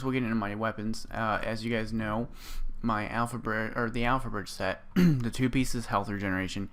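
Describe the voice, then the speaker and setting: A man with a hoarse, sore-throated voice, casually walking through his game build and rambling with lots of ums. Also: lowish voice, fairly bright tone, slight background noise. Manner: rambling, full of ums and restarts. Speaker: gaming video creator. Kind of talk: casual gameplay build walkthrough.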